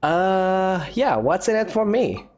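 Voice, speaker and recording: A man's voice: sits high, very animated, slow, darkish tone. A man giving casual playthrough commentary with wry, joking reactions. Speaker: gaming video host, player. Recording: commentary over game music.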